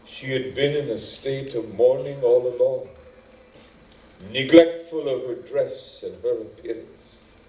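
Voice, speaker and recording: A man narrating an epic as a stage actor, dramatic and deliberate, with weighty pauses. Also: mid-range voice, darkish tone. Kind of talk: theatrical epic storytelling. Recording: roomy, echoing, distant microphone.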